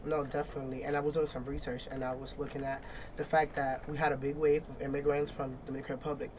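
Fast-talking young talk show host. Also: male voice, medium pitch, flat delivery, noisy background. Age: young adult. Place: studio.

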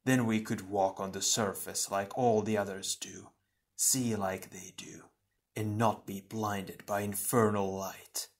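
Man doing sinister earth shadow, low pitch, hushed, slow, scornful, fantasy storybook villain. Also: fairly even intonation, lightly acted. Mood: sneering. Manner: slow, sneering.

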